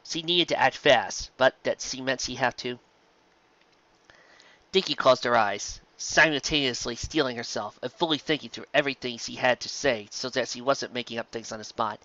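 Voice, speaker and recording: Low-voiced woman reading a story aloud. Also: normal speed, fairly bright tone. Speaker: narrator. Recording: dull, slightly muffled dry recording.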